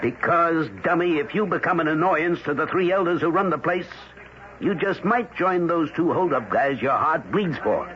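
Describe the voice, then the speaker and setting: A man's voice: on the high side, normal speed, lightly acted. An actor playing a wary, cynical male newspaper colleague in a radio drama, talking in a dry, cautious way. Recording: muffled old broadcast with faint noise.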